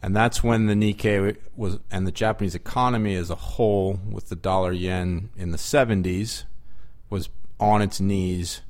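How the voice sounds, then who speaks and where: A man speaks in a measured, explanatory way as an interview guest. His voice sits a bit low and he talks in easy, conversational sentences. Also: fairly even intonation, darkish tone. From United States. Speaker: interview guest, equities executive.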